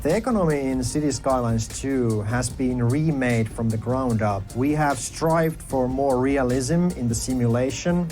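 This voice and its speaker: Man, game developer, measured explanation, trailer clip, soft music underneath. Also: mid-range voice. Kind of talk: developer insight trailer narration. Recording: clip played with music behind.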